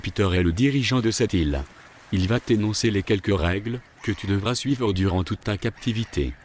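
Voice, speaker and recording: A man playing a stern, matter-of-fact kidnapper who translates for his boss in an audio drama, measured and firm. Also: on the low side, a little mellow, slight background noise, natural voice.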